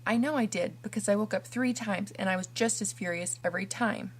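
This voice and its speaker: A woman's voice: medium pitch, a little fast, slightly rough, close to an everyday voice. A woman voicing an imaginative, dramatic orphan girl who talks earnestly and theatrically. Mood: thoughtful.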